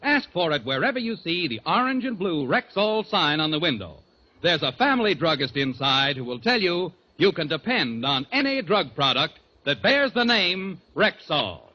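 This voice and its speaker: A male announcer reads in scripted 1940s radio style. His voice is notably high and swoops with animation. The old broadcast recording is dull and short on detail. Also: big pitch swings, moderate pace.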